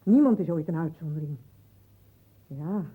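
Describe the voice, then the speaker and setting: Through narrow, phone-like sound comes a middle-aged wife in a radio drama. Her voice is fairly low and husky. She presses on insistently, reproachful and repetitive. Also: expressive, normal speed. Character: nagging, reproachful middle-aged wife and mother awaiting news.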